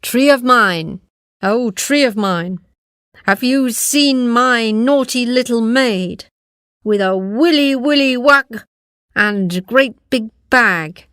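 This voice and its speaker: A woman's voice: a little high, slow, fairly bright tone, clear, resonant tone, no echo, clean studio sound. A woman voicing a nasty old storybook witch, angry and chanting in sing-song rhyme. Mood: sing-song accusing. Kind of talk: storybook character voice.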